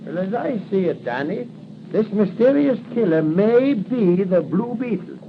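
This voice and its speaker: A man playing an excitable, dogged patrolman sidekick with an American accent in a 1940s radio drama. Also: high voice, a little slow. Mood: musing, stubborn. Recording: muffled, hissy 1940s radio recording.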